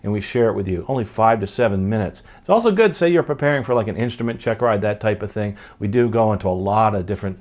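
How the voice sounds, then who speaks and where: A man's voice: on the low side, lively intonation, fast talker, few pauses, a little mellow. A man in his fifties hosting a podcast, talking casually and chattily to viewers in an upbeat way. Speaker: podcast host. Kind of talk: casual video outro to viewers. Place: home study with bookshelves, indoors.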